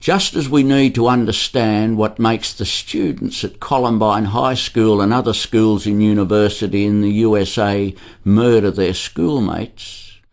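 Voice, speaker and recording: A man's voice: on the low side, steady tone, measured pace, hardly any pauses, a little mellow. A man with an Australian accent reading a scripted documentary narration.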